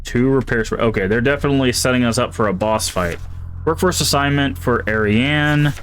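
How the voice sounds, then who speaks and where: A man's voice: mid-range voice, moderate pace, a bit bright, a little hiss or hum. A man streaming a horror game, thinking aloud and reading in-game items and notes as he plays. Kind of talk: casual gameplay commentary.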